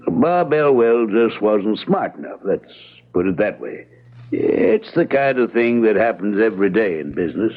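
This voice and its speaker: An actor playing a reserved, evasive American attorney in old-time radio drama style, hedging in a measured, matter-of-fact way. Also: male voice, lowish voice, big pitch swings. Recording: tinny, narrow-band vintage radio broadcast.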